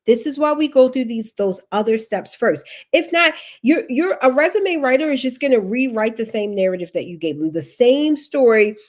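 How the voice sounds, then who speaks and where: A woman's voice: on the high side, expressive, moderate pace, few pauses. Sniffly woman giving emphatic career tips. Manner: emphatic, repeats key instructions, chatty asides. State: sniffly with allergies. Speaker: career coach. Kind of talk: livestream career advice.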